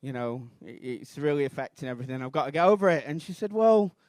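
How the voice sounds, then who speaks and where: A Mancunian man in his thirties doing a rambling, self-deprecating stand-up routine on a comedy club stage, bursting into shouted outrage. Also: mid-range voice, animated, fast talker, no room sound, clean studio sound. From Manchester, England.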